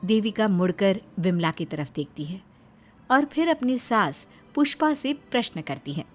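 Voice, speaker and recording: A woman's voice: mid-range voice, lively intonation, measured pace, soft background music. A woman reading a scripted moral story as its narrator.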